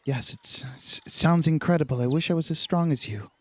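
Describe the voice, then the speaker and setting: A woman's voice: low-pitched, normal speed, a little hiss or hum. A woman playing a tabletop game in character, answering in a hesitant, defensive way. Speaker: player.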